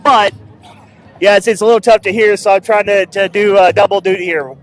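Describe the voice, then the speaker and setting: A man's voice: high-pitched, steady tone, fairly quick, faint music behind, some chatter behind, low-quality recording. A man hosting a live street broadcast outdoors on a handheld mic, rambling and joking. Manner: rambling, upbeat, jokey. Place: outdoors at a loud street festival. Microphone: handheld microphone.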